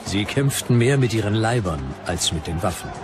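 A man's voice: fairly low pitch, animated, moderate pace, lightly acted. An actor playing a stern Roman commander, declaiming his war chronicle formally as a dramatised historical quotation. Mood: stern, proud. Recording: faint music behind the voice.

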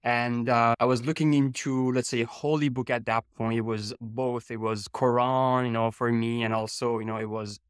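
A man in his thirties from Iran, raised in Canada, talking as a guest researcher over a video call from a home office, speaking haltingly with many fillers. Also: medium pitch, flat delivery, clear, resonant tone, dry recording, studio quality. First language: Persian. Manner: hesitant, searching for words with many fillers. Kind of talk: relaxed video-call interview.

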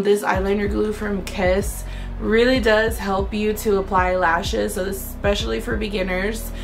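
A young woman in her twenties with a hoarse, raspy voice from a sore throat, chatting casually to camera about her shopping haul and filling her talk with "um" and "like.". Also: mid-range voice. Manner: chatty, many "um" and "like" fillers. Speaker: beauty vlogger.

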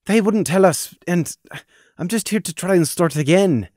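A man's voice: high-pitched, animated, moderate pace, a little mellow, no echo, clean studio sound, pitched above the performer's own voice. A man voicing a young stallion, an anxious brother who stammers and pleads for forgiveness. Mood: pleading.

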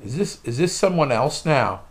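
A man in his forties, a paranormal investigator calling out and provoking spirits in a dark bedroom at night. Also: mid-range voice, expressive, normal speed, breathy edge. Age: 40s. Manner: provoking, challenging. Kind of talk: ghost-hunting session talk.

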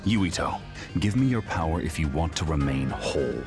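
An actor playing a menacing, imperious villain with psychic powers in a video game dub. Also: male voice, a bass voice, lively intonation, normal speed. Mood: threatening. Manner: threatening, imperious. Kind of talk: English game dub acting. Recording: dramatic game music behind.

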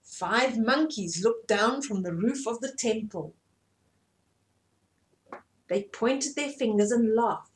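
A woman in her fifties reading a story aloud to children in an animated way, often asking the listener questions. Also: a little high, slow pace, pauses often. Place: small indoor room at home.

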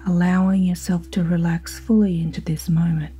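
Low, mellow woman guiding sleep hypnosis. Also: flat delivery. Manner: slow, soothing, soft-spoken with breathy phrase endings. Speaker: hypnosis guide. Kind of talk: guided sleep hypnosis narration. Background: ambient music with singing bowl tones.

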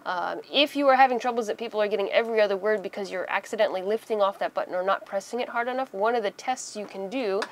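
A woman's voice: mid-range voice, fast talker, hardly any pauses, a little hiss or hum. A woman in her thirties giving a casual, conversational how-to explanation inside a small boat cabin, using everyday examples. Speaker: host of a sailing how-to video.

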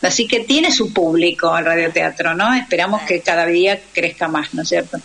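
Argentine woman, low register, conversational radio guest who explains her points. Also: fairly quick, gravelly, crowd noise. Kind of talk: live radio interview, conversational.

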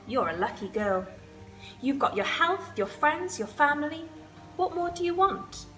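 A woman's voice: high voice, big pitch swings, measured pace, sharp tone, soft background music, pitched above the performer's own voice, heavily acted, low-quality recording. A woman voicing a dismissive mother scolding her young daughter in a children's storybook.

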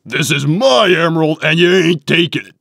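A man's voice: fairly high pitch, expressive, normal speed, creaky, noisy background. Flustered, stammering echidna warrior played by a man. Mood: indignant. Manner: stammering, easily flustered, earnest. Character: gruff, dense, flustered young male echidna warrior. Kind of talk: comic dub voice acting.

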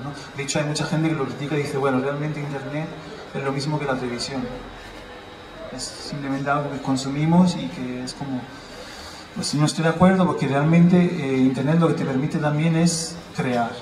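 A man from Italy giving an informal public talk outdoors, explaining ideas and posing rhetorical questions. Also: medium pitch. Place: outdoors at a building entrance on a city square. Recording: roomy, distant, noisy, church bells.

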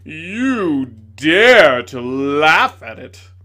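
A man reading aloud voices a bitter, theatrical male ghost who declaims slowly and loudly with self-pity. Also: high-pitched, voice pushed up, exaggerated voice. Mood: wounded ranting. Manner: loud, slow, melodramatic declaiming.

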